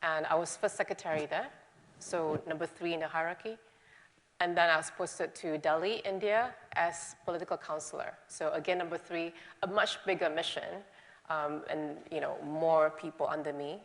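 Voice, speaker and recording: A woman's voice: sits low, steady tone, moderate pace. A woman in her forties from Singapore, a native Malay speaker, answering interview questions on stage in a chatty, self-deprecating way. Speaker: guest speaker. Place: lecture hall. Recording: reverberant hall.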